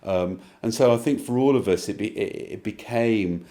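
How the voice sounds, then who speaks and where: A man's voice: lowish voice, moderate pace, mellow. A man giving a reflective interview answer, thoughtful and hesitant as he circles through rephrasings.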